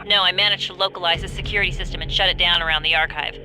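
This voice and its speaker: A woman's voice: on the low side, fairly even intonation, very fast, over music, lightly acted, low-quality recording. A woman playing a resolute, capable ex-agent and tech partner in a video game, direct and matter-of-fact. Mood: focused. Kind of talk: video game cutscene acting.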